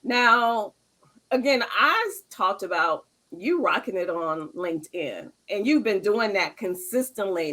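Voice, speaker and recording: A woman's voice: mid-range voice, fairly bright tone. A woman in her fifties hosting a conversational online interview, speaking in an affirming, emphatic way and repeating phrases for weight. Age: 50s. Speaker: interview host.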